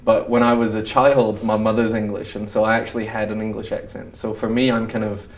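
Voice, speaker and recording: A Scottish man with a softened accent speaks in a fairly low voice. He is a stage actor talking easily about his work, with chuckles between his remarks. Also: little pitch movement, brisk. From Scotland. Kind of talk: casual interview chat.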